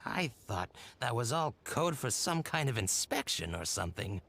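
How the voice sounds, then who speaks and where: Flustered merchant, wildly swinging pitch. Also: male voice, very animated, moderate pace, gravelly, sharp tone, slight background noise, exaggerated voice. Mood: flustered, confused. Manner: hesitant, stammering, placating. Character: flustered, accommodating male merchant, eager to haggle. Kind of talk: video game voice acting.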